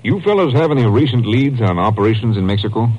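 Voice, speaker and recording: An actor playing an earnest senior police captain, measured and businesslike, in a 1950s radio drama. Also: male voice, lowish voice, lively intonation, slight background noise, natural voice. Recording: muffled old-time radio recording.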